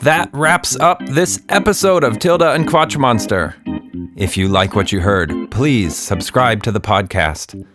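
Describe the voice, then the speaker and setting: A man narrating a children's story podcast in an expressive storytelling style. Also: medium pitch, unhurried, music in the background.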